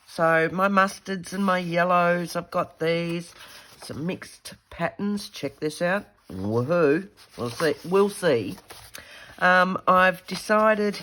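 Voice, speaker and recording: A woman's voice: mid-range voice, fairly bright tone. A woman with a stuffy head cold, chatting casually while she works through a craft project. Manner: chatty, self-talking while working. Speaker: craft video host. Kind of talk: casual craft tutorial chat.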